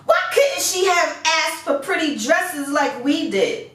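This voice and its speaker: A woman's voice: high-pitched, animated, slow pace, a bit bright, voice pushed up, exaggerated voice. Woman as a whiny, spiteful elder sister, echoey. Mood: resentful. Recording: echoey room, far from the mic.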